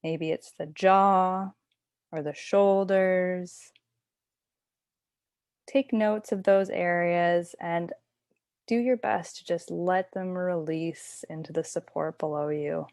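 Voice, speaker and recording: A woman leads a guided relaxation as a yoga nidra teacher. Her voice sits a little low and moves at a slow, unhurried pace. She instructs gently and soothingly, in a dry recording with hardly any room sound. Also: fairly even intonation, dry recording.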